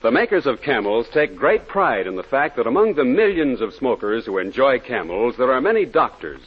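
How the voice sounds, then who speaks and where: A man's voice: mid-range voice, husky. A man delivering a scripted 1940s radio advertisement as an announcer. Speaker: commercial announcer. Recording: muffled vintage radio recording.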